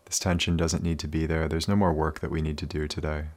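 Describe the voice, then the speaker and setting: A man leading a guided meditation in a calm, soothing, unhurried manner with many pauses. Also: a bass voice.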